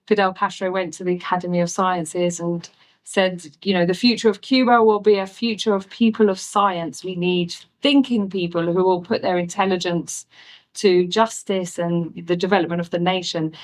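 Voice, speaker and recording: A woman hosting an interview, laying out background before each measured question. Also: on the low side, steady tone. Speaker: interviewer, host.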